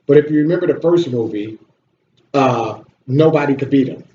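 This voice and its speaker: A man's voice: mid-range voice, normal speed, dark tone. A man giving a casual, opinionated movie review as a video host, blunt, complaining and swearing freely. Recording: roomy echo, dull audio, slight noise.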